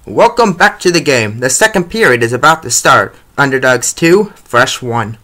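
A man giving scripted play-by-play narration as the announcer of an animated hockey series, reading out scores. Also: mid-range voice, moderate pace.

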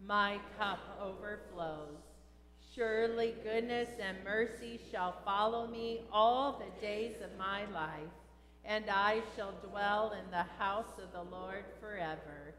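An older woman, a pastor, reading solemn liturgy and scripture at a funeral in a measured, deliberate way. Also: mid-range voice, crowd noise. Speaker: pastor leading a funeral service. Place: large church sanctuary, indoors. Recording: echoey church with long reverb.

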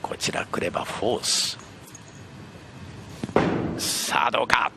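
A man doing live play-by-play bowling commentary, exclaiming and repeating words at big moments. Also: a little fast. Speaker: sports play-by-play announcer. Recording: lo-fi broadcast, loud noise and crowd. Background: bowling arena crowd noise.

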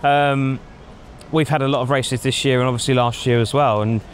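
A middle-aged man giving measured opinions in a casual outdoor chat, thinking aloud with frequent ums, on a lapel mic. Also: mid-range voice, steady tone, slight background noise. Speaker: pundit. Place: outdoors in a racing paddock at night. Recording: faint outdoor street ambience.

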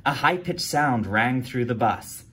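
A man reading a children's picture book aloud, jumping to a higher voice for the characters' lines. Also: a little slow, very noisy. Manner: animated read-aloud, jumps high for character quotes. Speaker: storytime reader.

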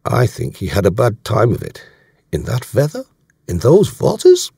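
A man's voice: mid-range voice, huge pitch swings, moderate pace, creaky, mellow, breathy edge, no echo, studio quality, voice pushed up, heavily acted. A man voicing a bluff, shrewd German naval commander in an audiobook, genial and courteous as he probes with questions. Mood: amused, curious.

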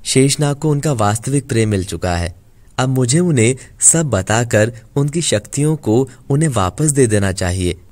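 A man's voice: medium pitch, animated, moderate pace, a little mellow, no echo, natural voice. A man playing a loyal, worried serpent in a cartoon fairy tale. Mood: worried, resolute.

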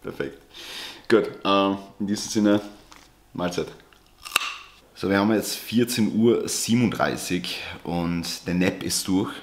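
A man's voice: sits low, unhurried, slight background noise. A man in his twenties chatting casually in a home vlog as a fitness coach, dropping English gym jargon into his speech. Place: home kitchen and dining area.

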